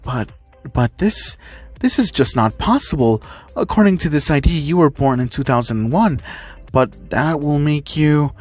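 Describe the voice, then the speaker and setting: A man's voice: mid-range voice, sing-song, normal speed, soft background music, natural voice. A man voicing a polite, inquisitive male school principal in a narrated story. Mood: shocked.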